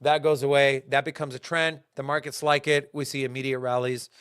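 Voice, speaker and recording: A young man hosting a casual crypto market show, talking in animated bursts with fillers and restarts. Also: medium pitch, a little fast, clear, resonant tone, no echo, studio quality. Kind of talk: casual market commentary to a live chat.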